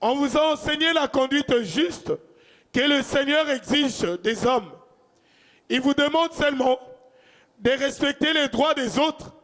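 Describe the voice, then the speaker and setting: A young man reading scripture aloud in a church, careful and deliberate. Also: extremely high pitch, fairly bright tone. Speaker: scripture reader. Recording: reverberant church hall.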